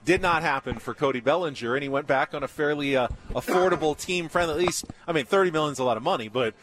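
A man's voice: on the high side, fast, noisy background. A man hosting live sports talk radio from a remote broadcast. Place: live remote broadcast at a baseball spring training site.

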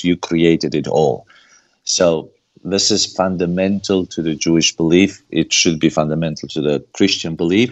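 A man's voice: low voice, lo-fi sound. A man with a Romanian accent, a guest answering at length in an earnest, preaching manner and repeating phrases for emphasis. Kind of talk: preaching-style interview answer.

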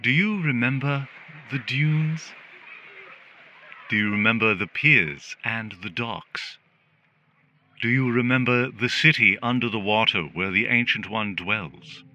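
An actor playing a caring but menacing government relaxation robot in a dystopian radio drama, putting slow, rhetorical questions. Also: male voice, mid-range voice, bright, forceful tone, dull audio, slight background noise. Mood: eerie soothing. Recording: music under the voice.

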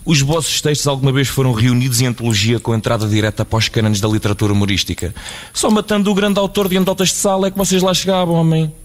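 A man's voice: mid-range voice, fast, close to an everyday voice. A man playing a pompous, aggrieved internet troll turned inquisitor in a comedy radio play, accusatory and mock-erudite, with a European Portuguese accent.

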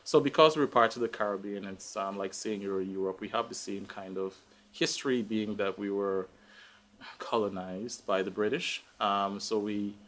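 A man's voice: on the low side, normal speed, fairly bright tone. A man with a Guyanese accent talking casually as an interview guest, thinking aloud in a reflective, hesitant way. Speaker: interview guest, tech professional. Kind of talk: casual live conversation.

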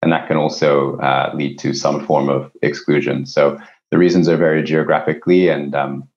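A man with an extremely low voice answers as an interview guest in a conversational, explanatory manner. He is a company executive from Japan, and the recording sounds slightly dull and lo-fi. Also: level tone, normal speed, a little mellow. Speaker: company CEO, podcast guest.

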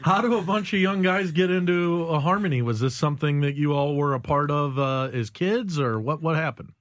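A man's voice: fairly high pitch, brisk, no echo. A male radio co-host talking casually on a live studio show. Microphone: studio microphone.

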